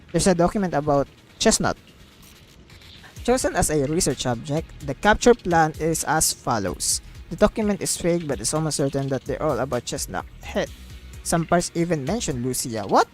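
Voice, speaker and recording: Female game streamer, low-ish voice, excitable and gushing, reads dialogue aloud over prominent background music. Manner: gushing and excitable, exclaims and repeats herself. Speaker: streamer playing a video game.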